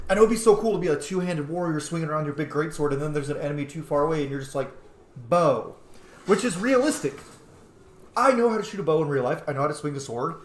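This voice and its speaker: A man's voice: a little high, reverberant. A man hosting a gaming channel, giving casual, chatty and opinionated let's-play commentary. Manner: opinionated, chatty fan enthusiasm.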